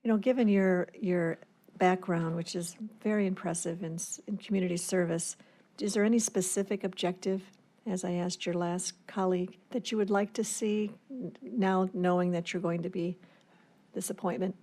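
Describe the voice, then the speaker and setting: A woman's voice: lowish voice, steady tone, normal speed, dark tone. A woman chairing a formal public meeting in a courteous, procedural manner. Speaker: committee chair.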